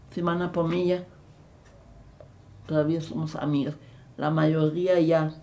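An elderly woman with a German accent, recalling her past in an interview, halting and repeating words as she searches for them. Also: low-pitched, darkish tone. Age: older. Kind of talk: recounting memories in an interview.

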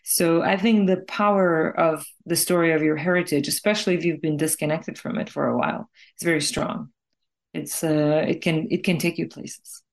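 A woman's voice: sits low, steady tone, normal speed, creaky. A woman from Israel, a singer-songwriter, answering interview questions in a thoughtful, explanatory way. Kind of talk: reflective interview conversation.

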